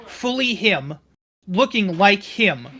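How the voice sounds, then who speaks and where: A man in casual tabletop game talk, explaining things in a deliberate, conciliatory way. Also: high-pitched, sing-song, bright, forceful tone, breathy edge.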